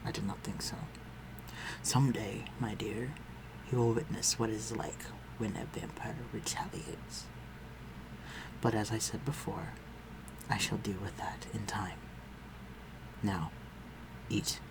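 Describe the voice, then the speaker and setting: A man playing an aristocratic vampire master in a scripted audio roleplay, speaking formally and with measured, condescending concern for the listener. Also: lowish voice, steady tone, noisy background. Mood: coldly menacing, then civil, aloof. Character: aristocratic male vampire master, civil, protective, coolly superior.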